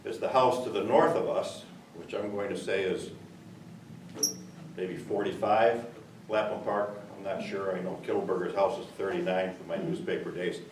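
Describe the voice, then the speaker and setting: A retired local man stands to address a village board, loud and earnest, wandering through his memories with plenty of fillers. His low voice comes from well off the mic in a hollow, echoing hall with a faint hiss behind. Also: fairly even intonation, moderate pace, far from the mic. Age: older. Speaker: resident giving public comment. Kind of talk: public comment at a village meeting. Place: village meeting room. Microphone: far room mic.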